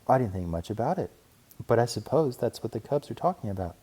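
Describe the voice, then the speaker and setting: Man playing a calm, thoughtful mama bear. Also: medium pitch, fast, breathy edge, pitched above the performer's own voice. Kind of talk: children's storybook read aloud.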